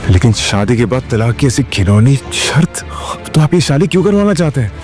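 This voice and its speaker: Man acting humble shoe shiner, polite, hesitant, medium pitch, audio drama over music. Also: big pitch swings, fairly quick, natural voice. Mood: bewildered. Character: humble, kindly shoe-polish worker with a secret.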